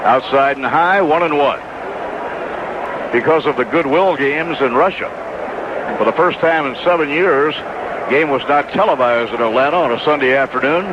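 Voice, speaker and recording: High-voiced man calling baseball on old radio. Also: moderate pace. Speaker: baseball play-by-play announcer. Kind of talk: live radio sports commentary. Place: stadium broadcast booth. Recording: noisy, dull 1980s AM radio broadcast recording.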